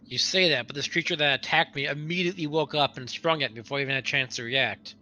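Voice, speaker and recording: A man's voice: fairly high pitch, fast talker, bright, forceful tone, slight background noise. A man speaking casually in character as a player in a tabletop roleplaying game.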